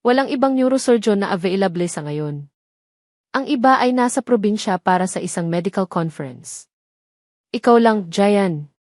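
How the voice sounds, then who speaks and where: A woman's voice: mid-range voice, expressive, normal speed, darkish tone, no echo, clean studio sound, natural voice. A woman voicing a grave, urgent senior doctor in an audio romance drama, speaking in clipped phrases. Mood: grave, insistent.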